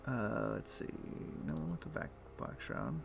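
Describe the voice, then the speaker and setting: A man streaming a video game, explaining things in a relaxed, meandering way with frequent pauses. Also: very deep voice, vocal fry, noisy background. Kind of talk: casual gameplay chat.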